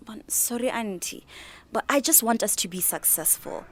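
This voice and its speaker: A woman's voice: on the high side, moderate pace. An actress playing an ambitious, earnest young niece in a radio drama, a young woman with a Botswana accent. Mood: conciliatory. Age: young adult. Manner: animated, earnest, persuasive. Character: young, ambitious, organised niece starting a catering business. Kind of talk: radio drama acting, code-switching dialogue.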